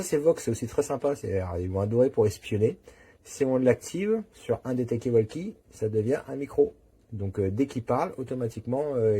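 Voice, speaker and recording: A man's voice: medium pitch, mellow, breathy edge. A man giving a casual, unscripted product review, talking colloquially and thinking aloud as he demonstrates.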